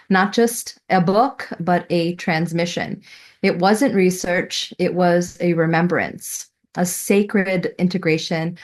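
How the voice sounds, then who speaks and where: Middle-aged woman, slightly low voice. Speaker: student presenting a thesis. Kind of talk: prepared personal presentation.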